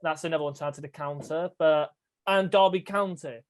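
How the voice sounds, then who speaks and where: A man's voice: fairly high pitch, a little fast, a loud crowd. A young man hosting a casual football fan chat on a video call, rambling and laughing easily. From England. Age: young adult. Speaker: host of a fan podcast.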